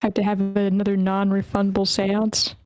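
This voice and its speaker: A woman's voice: medium pitch, monotone, moderate pace, darkish tone, faint music behind. A woman hosting an online script table read, chatting casually in an informal, playful way. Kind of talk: casual chat, moderating an online meeting. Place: online voice meeting, dry close sound.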